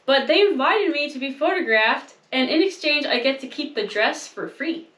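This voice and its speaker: A young woman co-hosting a casual chat, self-mocking and quick to laugh. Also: high voice, lively intonation, fairly bright tone, reverberant. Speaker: co-host.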